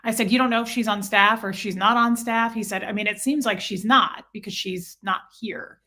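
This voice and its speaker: A woman's voice: medium pitch, steady tone, moderate pace, pushed and bright. A woman speaking as an interview guest, telling personal stories casually and earnestly, often acting out both sides of conversations.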